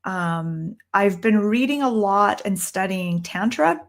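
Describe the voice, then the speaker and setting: A young woman with a Canadian accent speaks in a middle-pitched voice, answering viewer questions in a loose, conversational way. She thinks out loud, trails off and restarts her sentences, dropping in ums as she goes. It sounds like a home livestream recorded in a room downstairs. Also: animated, takes it slowly. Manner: chatty, thinks aloud with frequent ums and restarts. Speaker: tarot reader and livestream host. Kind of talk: casual livestream Q&A.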